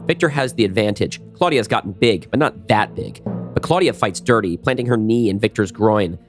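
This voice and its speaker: Man, low pitch, scripted audio drama narration, faint music underneath. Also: animated, a little fast.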